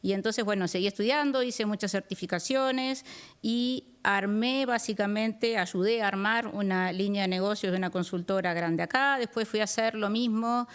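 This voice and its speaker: A woman's voice: mid-range voice, moderate pace, clear, resonant tone. A middle-aged woman from Uruguay, a guest talking frankly and directly about her career, often restarting her sentences. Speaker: guest economist. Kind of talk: conversational interview.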